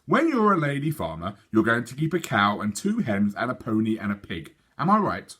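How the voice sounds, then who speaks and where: A man voicing a reasonable, practical father in a storybook, patient and gently questioning. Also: medium pitch, wildly swooping pitch, moderate pace, slightly rough, exaggerated voice.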